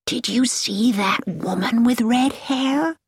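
A woman's voice: fairly high pitch, breathy edge, no room sound, studio quality, full character voice. A woman reading as a chatty middle-aged American lady. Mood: gossiping. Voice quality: pleasant voice. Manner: slow, inquisitive and sociable.

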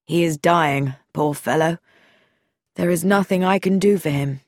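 A woman's voice: darkish tone, dry recording, studio quality. Woman doing a grave middle-aged doctor. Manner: low, grave and measured.

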